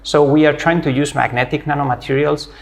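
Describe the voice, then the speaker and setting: A man's voice: a little high, steady tone, a little fast, gravelly. Spanish-accented man explaining his research. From Spain. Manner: friendly, explanatory, with frequent "uh" hesitations. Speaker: physics professor, interview guest. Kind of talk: academic interview conversation.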